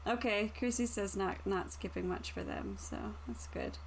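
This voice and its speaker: Female tabletop player, casual chat, gravelly vocal fry, slightly noisy stream audio. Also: mid-range voice, expressive, normal speed.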